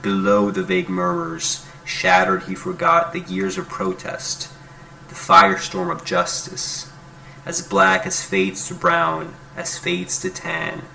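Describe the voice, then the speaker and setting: A man reading poetry aloud as a dramatic recitation, with deliberate, emphatic phrasing in short bursts. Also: on the high side, big pitch swings, creaky, pushed and bright, poor audio. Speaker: poetry reader.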